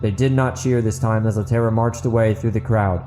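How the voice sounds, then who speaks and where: A man with a fairly low, very mellow voice hosts and narrates a fiction podcast. His phrasing is deliberate and earnest. Quiet music runs behind him. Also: steady tone. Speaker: podcast host, author and narrator. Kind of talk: dramatic audiobook narration and podcast sign-off.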